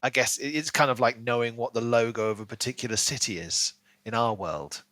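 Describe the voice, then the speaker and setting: A man's voice: mid-range voice, brisk, dry recording. A man chatting casually and animatedly as a podcast co-host in a book discussion. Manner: animated, acts out imagined lines in playful voices.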